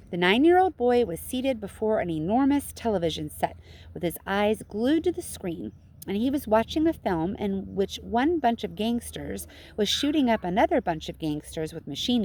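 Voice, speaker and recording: Female schoolteacher narrator for a children's book, very lively intonation, medium pitch. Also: normal speed, slight background noise. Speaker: schoolteacher reading aloud. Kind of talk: expressive children's storybook narration.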